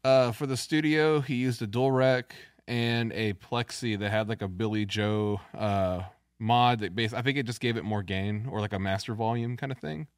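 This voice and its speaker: Deep-voiced guy, low pitch, geeking out over guitar rigs in casual chat, dry mic, music faint behind. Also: moderate pace, hardly any pauses, clean tone, no echo. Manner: knowledgeable, technical. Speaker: podcast guest, guitarist.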